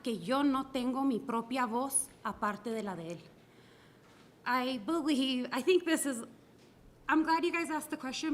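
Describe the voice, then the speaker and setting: Higher woman, earnest, goes spanish then english, faint room noise. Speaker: school board candidate. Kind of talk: forum answer, personal and earnest.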